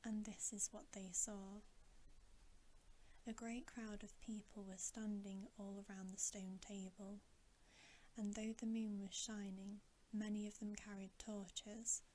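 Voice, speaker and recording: A woman whispering a bedtime story in a hushed, gentle, deliberate ASMR reading. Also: medium pitch, slight background noise, rough recording. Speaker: ASMR reader.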